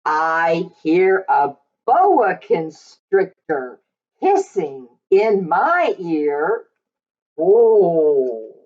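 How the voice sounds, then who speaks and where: A woman reading a picture book aloud to young children, in a sing-song, drawn-out way with questions to her listeners. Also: fairly low pitch, sing-song, a little mellow, lo-fi sound.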